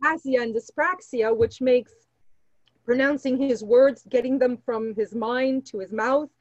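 Female podcast guest, Portuguese mother tongue, high pitch, candid conversational delivery, slightly muffled mic. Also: measured pace. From Canada. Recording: roomy, slightly dull remote microphone.

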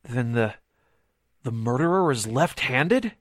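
Halting, secretive witness, slow-spoken. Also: male voice, mid-range voice, lively intonation, no room sound, studio quality. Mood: hesitant, evasive. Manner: halting, hedging, trailing off. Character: hesitant, secretive man, nervous witness confiding gossip. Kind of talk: 1940s radio drama acting.

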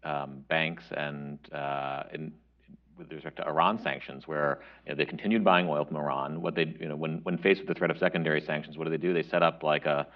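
A man speaking as a panelist, halting, with many fillers. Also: extremely low pitch, steady tone. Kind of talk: panel discussion. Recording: dull lo-fi audio.

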